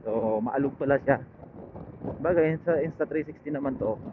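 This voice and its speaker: A man with a Filipino accent chats casually and haltingly to viewers as a motorcycle vlogger, thinking aloud through a helmet intercom mic. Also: mid-range voice. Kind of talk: casual unscripted ride chat to viewers. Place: outdoors, riding a motorcycle. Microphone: helmet intercom headset linked to action camera. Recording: phone-like helmet intercom mic, loud engine noise. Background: loud motorcycle engine and road noise.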